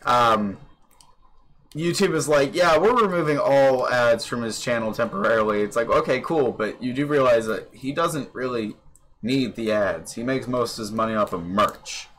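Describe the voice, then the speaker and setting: A man's voice: mid-range voice, moderate pace, fairly bright tone. A young man hosting a podcast, chatting casually over a voice call, rambling with lots of fillers and casual swearing. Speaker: podcast host. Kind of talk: casual chat. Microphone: computer microphone on a voice call.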